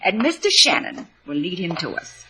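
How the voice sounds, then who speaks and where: A woman's voice: fairly low pitch, sing-song, moderate pace. A woman playing a bossy, coolly sweet murderess who manipulates her meek husband in an old radio drama, with prim endearments over cold commands. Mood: cold, insistent. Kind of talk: 1950s radio drama acting.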